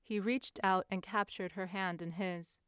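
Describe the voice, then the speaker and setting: Female audiobook-style narrator: measured, crisp, medium pitch, studio-dry. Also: steady tone, a bit bright, no room sound. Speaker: fan fiction narrator. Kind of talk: scripted story narration.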